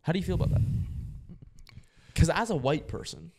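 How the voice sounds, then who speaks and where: A man's voice: on the high side, expressive, brisk, gravelly, darkish tone, slightly rough. A young man co-hosting a casual podcast, rambling with lots of fillers and restarts. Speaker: podcast co-host.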